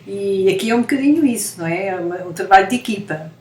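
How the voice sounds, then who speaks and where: A woman's voice: medium pitch, expressive, quick pace, a little mellow. Portuguese woman explaining in a roomy echo. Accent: European Portuguese. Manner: explains with analogies, frequent tag questions. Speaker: interviewed scientist. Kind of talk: explanatory interview talk. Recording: echoey room, faint noise.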